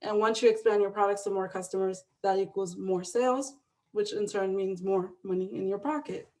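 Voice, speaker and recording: A woman's voice: medium pitch, fairly even intonation, moderate pace, dark tone. A woman from the Dominican Republic, an online seller, giving an upbeat explanatory webinar presentation, often adding "right?". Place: video call.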